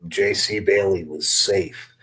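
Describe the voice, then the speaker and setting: A middle-aged man chats casually like the veteran wrestler he is, reminiscing at a slow pace. His voice sits fairly low, and he repeats words for emphasis. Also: steady tone, pushed and bright. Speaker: veteran wrestler, guest commentator.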